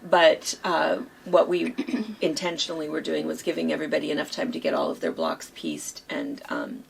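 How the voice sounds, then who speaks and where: A hoarse woman co-hosting a quilt shop chat, rambling casually with lots of fillers. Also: deep voice, sing-song, moderate pace, a little hiss or hum, low-quality recording. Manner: chatty, rambling with many fillers.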